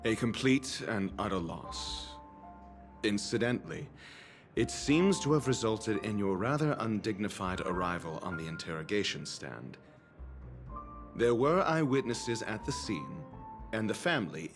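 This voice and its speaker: Haughty, low-voiced scholar with swooping intonation. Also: male voice, big pitch swings. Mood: scornful. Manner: pompous, formal, deliberate phrasing. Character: haughty male scholarly doctor, coolly disdainful and sardonic. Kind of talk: video game voice acting. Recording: background music under dialogue. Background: music.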